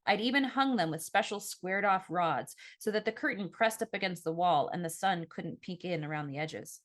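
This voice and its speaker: A woman reads fiction aloud in a slightly low voice. She narrates in the first person like an audiobook author, with an expressive storytelling delivery. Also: moderate pace. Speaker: author reading own novel.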